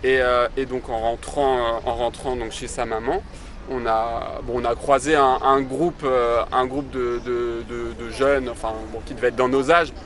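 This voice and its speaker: A man's voice: mid-range voice, little pitch movement, moderate pace, fairly bright tone. A young man in his twenties talks about his life outdoors by the sea, stopping often to search for words. His voice sits in an ordinary middle range. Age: 20s. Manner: hesitant, many fillers and restarts. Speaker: interview guest, entrepreneur. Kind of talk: casual interview answers.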